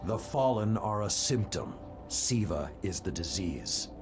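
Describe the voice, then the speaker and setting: An actor playing a grave, resolute veteran warrior lord in a video game, making solemn and weighty pronouncements. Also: male voice, low voice, animated, measured pace, over music. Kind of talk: scripted video game dialogue.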